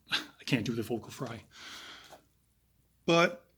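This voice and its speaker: A man with a raspy voice giving analytical true crime commentary, talking casually with pauses and fillers. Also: mid-range voice, lively intonation, fairly bright tone, slight background noise.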